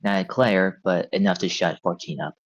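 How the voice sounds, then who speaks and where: A man's voice: low voice, fairly even intonation, normal speed. A man narrating stage directions from a script at a table read. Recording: dull, lo-fi audio.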